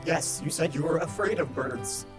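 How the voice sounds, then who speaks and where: A performer voicing a formal, literal-minded male robot bird in a visual novel game, stilted and deadpan. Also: mid-range voice, normal speed, music in the background, natural voice. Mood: matter-of-fact.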